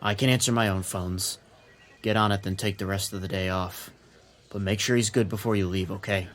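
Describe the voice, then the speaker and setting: Deep-voiced gruff police captain, played by a man. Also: steady tone, brisk, lightly acted. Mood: curt, commanding. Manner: plain-spoken, streetwise, in command. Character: gruff, shrewd male police captain of a crooked city. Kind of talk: noir audio drama dialogue.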